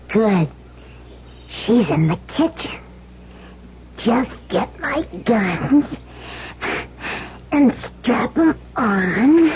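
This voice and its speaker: Woman acting eager, animated young boy. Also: mid-range voice. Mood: playful. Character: eager young boy playing cowboy with guns. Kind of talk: old-time radio drama acting. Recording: dull, noisy vintage radio recording.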